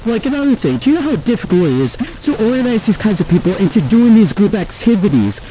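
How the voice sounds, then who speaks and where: Man acting a high-pitched, whiny jealous villain. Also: big pitch swings, quick pace, warm tone, rough recording. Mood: whiny indignation. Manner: whiny, indignant, sweary. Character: petulant, jealous villain bragging and complaining. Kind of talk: comedic rant monologue.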